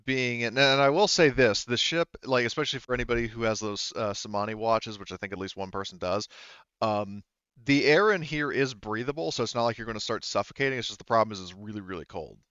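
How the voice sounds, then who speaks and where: A man with a smooth, fairly low voice works as a game master. He talks casually and haltingly, rambling through rules and narration. The sound is close and dry, a little dull. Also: a bit bright, no echo. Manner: halting, rambling, informal with mild swearing. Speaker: game master of an online tabletop roleplaying game.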